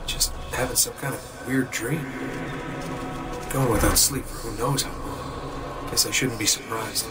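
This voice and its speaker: A man's voice: medium pitch, fairly even intonation, a little slow, natural voice. An actor playing a weary, puzzled young man in a video game, with a casual, muttering manner. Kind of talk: video game cutscene acting. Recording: music behind, off-mic sound.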